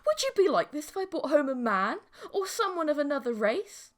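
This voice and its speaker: An awkward young man played by a woman in an audiobook tale. He is earnest and hesitant, a reluctant boyfriend quick to take offence. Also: sits high, big pitch swings, pitched above the performer's own voice. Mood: indignant.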